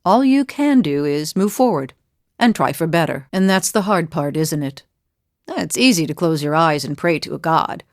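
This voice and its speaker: A woman's voice: deep voice, lively intonation, normal speed, dry recording, clean studio sound. A woman playing a weathered, good-humored mercenary in a video game, speaking in a wry, plainspoken, reflective way. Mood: reflective, regretful. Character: weathered, world-weary but good-humored female mercenary seeking forgiveness. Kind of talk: scripted video game character dialogue. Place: dry studio voice recording.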